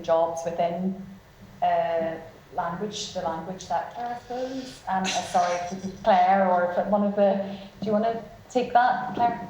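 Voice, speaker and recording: A woman's voice: medium pitch, a little mellow, a little hiss or hum. Woman, panel moderator, rambling questions, echoey event room. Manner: rambling, halting questions with fillers. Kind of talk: panel discussion. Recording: roomy hall.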